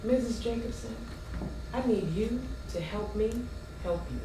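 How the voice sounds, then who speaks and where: A woman on stage playing a condescending, officious welfare caseworker with a brisk, bureaucratic and patronizing manner. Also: on the high side. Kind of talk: theatrical stage play dialogue. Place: theater stage, recorded from a distance. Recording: echoey stage hall, far mic, noisy.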